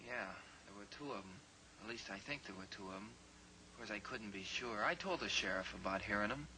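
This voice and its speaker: A scared, defensive young ranch son. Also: male voice, fairly low pitch, lively intonation, fairly quick, pushed and bright, a little hiss or hum, poor audio. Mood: hesitant. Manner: defensive and hesitant. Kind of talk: 1950s radio drama acting.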